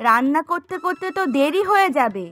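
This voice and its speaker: A woman's voice: sits high, sing-song, fairly quick, a bit bright, faint music behind. A woman voicing an eager, dutiful young daughter-in-law in a cartoon, with a chatty, practical, coaxing manner. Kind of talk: cartoon story dialogue.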